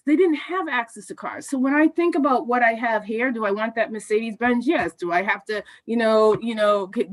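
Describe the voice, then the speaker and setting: Earnest woman telling a travel story. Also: a little high. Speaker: co-host. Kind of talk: casual storytelling chat.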